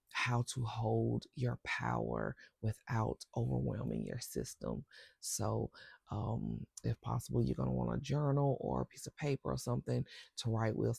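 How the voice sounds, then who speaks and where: A woman with a stuffy nose guiding a spiritual intention ceremony with breathwork, speaking slowly and softly and often dropping to a whisper. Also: very deep voice, creaky, slightly rough, no room sound, studio quality. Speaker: spiritual circle facilitator.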